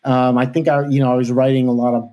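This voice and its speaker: A man's voice: medium pitch, level tone, quick pace, warm tone. A man in his thirties with a light New York accent, chatting as a podcast guest and talking with many fillers and restarts. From New York area. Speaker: podcast guest, marketing professional. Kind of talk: casual interview chat.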